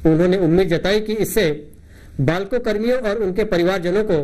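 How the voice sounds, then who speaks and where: A man's voice: high voice, brisk, darkish tone. A middle-aged man reading scripted news as a presenter, in a formal headline style.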